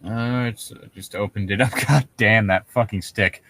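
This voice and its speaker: A man's voice: on the low side, steady tone, moderate pace, pushed and bright, dry recording. Male co-host for horror-story readings, loose and foul-mouthed banter, dry sound. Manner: sweary, joking. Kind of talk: casual banter and reading aloud.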